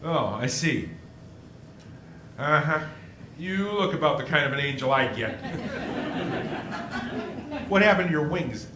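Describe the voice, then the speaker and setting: A man playing a troubled, wry everyman in a 1940s-style radio play, with halting, folksy, stammering speech. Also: on the high side, lively intonation, echoey room, background noise. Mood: wry, skeptical. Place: indoor stage performance.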